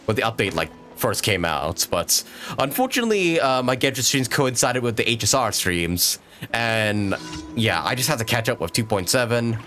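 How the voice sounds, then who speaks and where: A man's voice: medium pitch, sing-song, normal speed, bright, forceful tone. A man chatting casually while livestreaming a video game, hesitant and rambling as he thinks aloud. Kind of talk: casual gaming livestream chat. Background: video game music.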